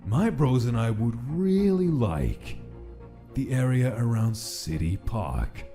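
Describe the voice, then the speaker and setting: Guy voicing a sly skeleton mobster, dark mellow voice, lively swings, fake polite. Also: mid-range voice, takes it slowly, faint music behind. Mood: smug, falsely polite. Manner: smooth-talking, falsely polite. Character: scheming skeleton gangster monster feigning friendliness. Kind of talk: comic dub character acting.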